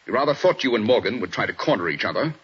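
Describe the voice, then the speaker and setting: An actor playing a shrewd, stern Scotland Yard chief inspector in a 1940s radio drama, brisk and probing. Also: male voice, lowish voice, sing-song, fairly bright tone, breathy edge, heavily acted. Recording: dull old radio broadcast.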